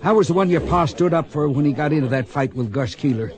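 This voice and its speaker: An actor playing a humble, washed-up old gunfighter in a western radio drama, folksy and rueful. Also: male voice, fairly high pitch, expressive, fast talker, lightly acted. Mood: remorseful. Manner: folksy frontier drawl, rueful.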